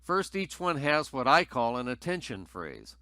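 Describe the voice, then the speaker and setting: A man with a bright, forward voice at a middle pitch presents a tutorial. He explains things methodically in scripted narration, recorded close and very dry. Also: very animated, a little slow, no room sound.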